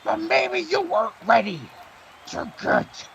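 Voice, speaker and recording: A man voicing a frantic, over-the-top wrestling announcer calling play-by-play in a comic audio play, with a croaky voice. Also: very high-pitched, very animated, slow, a bit bright, dull audio, some chatter behind, a little hiss or hum, heavily acted. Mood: shocked, excited.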